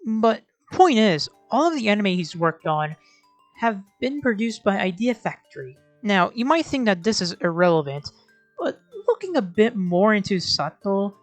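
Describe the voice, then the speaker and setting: A woman's voice: mid-range voice, big pitch swings, normal speed, dry recording, faint music behind. A woman hosting a video essay, giving casual scripted commentary with wry, sarcastic asides and informal, sweary phrasing.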